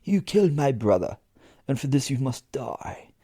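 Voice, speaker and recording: A man voicing a vengeful, menacing female vampire who speaks in a slow, whispered threat, in an audio horror drama. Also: medium pitch, sing-song, dark tone, slightly rough, no room sound, studio quality, pitched above the performer's own voice. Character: vengeful, menacing female vampire, coldly grieving her brother.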